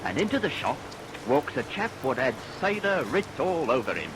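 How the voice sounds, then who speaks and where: An actor playing a folksy, gossipy storyteller who narrates a vintage radio play in colloquial speech, relishing the grisly tale. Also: male voice, on the high side, sing-song, moderate pace, very noisy. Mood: wry relish. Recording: vintage radio recording with rain.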